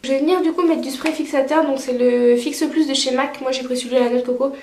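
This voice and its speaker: A woman's voice: high-pitched, fairly even intonation, quick pace, a little mellow, reverberant. A woman vlogger with a cold, chatting casually through a makeup tutorial with many fillers. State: stuffy with a cold. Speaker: beauty vlogger.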